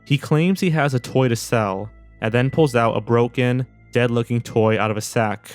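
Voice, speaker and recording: A man's voice: mid-range voice, moderate pace. A man reading scripted narration as a video narrator. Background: background music.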